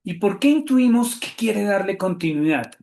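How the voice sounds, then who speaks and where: A man with a Colombian accent hosts an online talk, his voice sitting a little high as he rushes along with fillers and self-corrections. He sounds like he is on a computer mic in a room with noticeable echo. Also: a little hiss or hum. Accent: Colombian Spanish. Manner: quick, animated talk with many fillers and restarts. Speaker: theology professor hosting a webinar. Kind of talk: webinar presentation.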